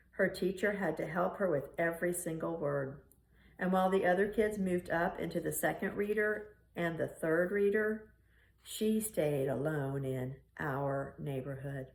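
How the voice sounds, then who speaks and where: A woman reading a children's picture book aloud in an expressive storytelling style. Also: lowish voice, vocal fry. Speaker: storybook reader. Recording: roomy, echoing room sound.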